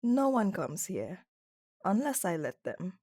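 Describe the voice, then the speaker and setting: A woman's voice: mid-range voice, sing-song, slow pace, darkish tone. A woman playing a cold, dominant female CEO with a stern, condescending and flirtatious manner in an ASMR roleplay. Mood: stern, controlled.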